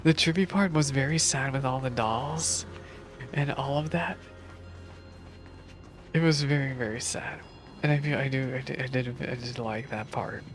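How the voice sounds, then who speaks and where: A woman's voice: a bass voice, normal speed, pauses often. A woman giving casual, informal live stream commentary on a game, thinking aloud as she goes. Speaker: game streamer reacting to story. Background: game music.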